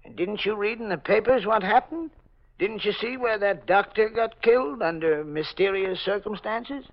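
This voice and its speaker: An actor playing a gruff, talkative night watchman with folksy, uneducated American speech in a 1940s radio mystery. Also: sits high, moderate pace. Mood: chatty, eerie. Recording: muffled old radio recording.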